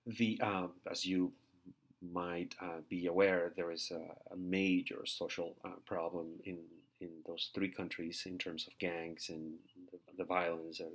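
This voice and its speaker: A man's voice: low-pitched, little pitch movement, a little slow. A man, giving a recorded university lecture as a teaching assistant, hesitant with many fillers and repeated words. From Peru. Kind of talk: recorded lecture over slides.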